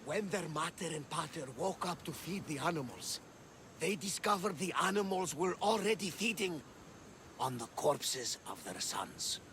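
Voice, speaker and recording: A man's voice: on the high side, lively intonation, normal speed, a bit bright, a little hiss or hum. A man playing a talkative, genial Greek ship captain who relishes telling tales, in a video game cutscene. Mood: grim.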